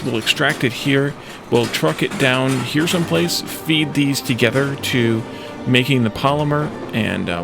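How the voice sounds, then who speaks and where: Gravelly man talking through a game. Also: mid-range voice. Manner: thinking aloud, musing through problems. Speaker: video game let's play host. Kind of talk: casual gameplay commentary. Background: background music.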